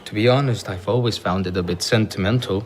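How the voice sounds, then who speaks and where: An actor playing a modest young explorer and teacher in an audio drama, inquisitive and polite. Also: male voice, fairly low pitch, brisk, a little hiss or hum, close to an everyday voice. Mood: gentle. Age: young adult.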